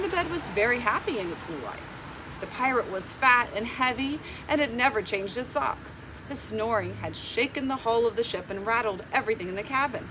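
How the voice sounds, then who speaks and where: A woman around forty reading a picture book aloud in a measured, expressive storytelling way. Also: a little high. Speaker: library storytime reader. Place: outdoors on a garden bench. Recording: outdoor background noise with insects.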